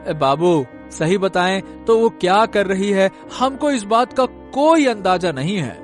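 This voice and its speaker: A man's voice: high voice, expressive, unhurried, faint music behind. A man playing a timid, deferential villager in a cartoon story, hesitant and rustic in manner. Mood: nervous, pleading. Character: timid, deferential village men: henchman and anxious farmer father. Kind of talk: Hindi cartoon story acting.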